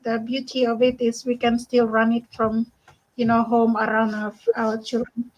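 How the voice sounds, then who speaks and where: A woman's voice: fairly high pitch, completely flat delivery, moderate pace, lo-fi sound. A woman from Bougainville hosting a casual online community call from home, who laughs often and thanks each guest. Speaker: host.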